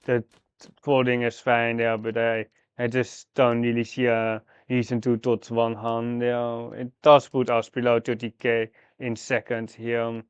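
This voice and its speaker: A man live-streaming a game, thinking aloud through his moves in a halting, fragmented way. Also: medium pitch, completely flat delivery, clean tone, dry recording, lo-fi sound. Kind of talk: live mahjong gameplay commentary, thinking aloud.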